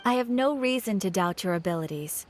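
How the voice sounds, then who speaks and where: A woman's voice: medium pitch, lively intonation, a little fast, heavy background noise, lightly acted. A woman voicing a polite, composed guild clerk in a video game, courteous and businesslike. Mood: helpful.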